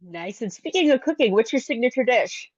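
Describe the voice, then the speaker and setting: A woman's voice: a little high, animated, brisk, a little mellow, no echo, highs cut off. A woman hosting an interview, asking questions and giving brief, friendly reactions. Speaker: interviewer host. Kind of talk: casual interview chat.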